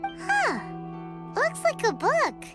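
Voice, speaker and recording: A woman voicing a tiny, excitable, curious fairy girl in an anime fantasy game, with a squeaky childlike voice and bubbly manner. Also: drawn-out words, heavily acted. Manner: bubbly, speaks of herself in third person. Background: background music.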